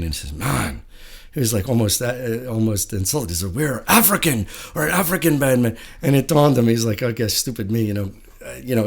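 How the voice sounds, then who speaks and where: A man hosting a casual music interview, friendly and rambling, with many uhs. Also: mid-range voice. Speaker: show host.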